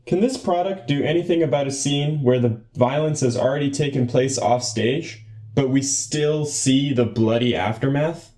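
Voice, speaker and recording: A woman's voice: extremely low pitch, moderate pace, dark tone. A young woman playing an eager, credulous corporate co-presenter in a comic radio play. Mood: amazed, puzzled. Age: young adult. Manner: credulous, earnest. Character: eager, naive male corporate co-presenter Tom.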